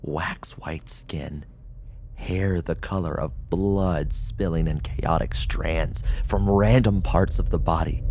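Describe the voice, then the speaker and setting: A man narrates horror in a low-pitched voice at a very slow, suspenseful pace, often sinking toward a whisper. His delivery is dramatic and scripted. Some noise sits behind the recording. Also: creaky. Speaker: audiobook narrator reading horror fiction.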